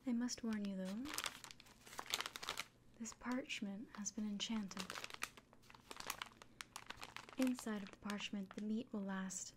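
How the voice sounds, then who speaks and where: A woman playing a friendly fantasy innkeeper in a soft, breathy, often whispered ASMR roleplay, speaking gently and without hurry. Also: mid-range voice, fairly even intonation, very noisy, close to an everyday voice. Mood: careful, earnest. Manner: gentle, unhurried, caring. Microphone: close microphone.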